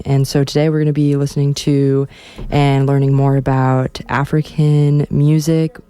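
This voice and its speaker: A young woman hosts a college radio interview in a relaxed, slightly halting way. Her voice is mellow and smooth, with a flat, even pitch. The studio sound is dry and close. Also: no echo.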